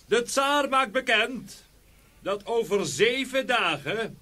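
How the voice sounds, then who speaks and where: Loud, high-pitched town crier. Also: male voice, lively intonation, exaggerated voice. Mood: proclaiming. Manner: proclaiming, drawn-out calls. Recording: crowd noise behind.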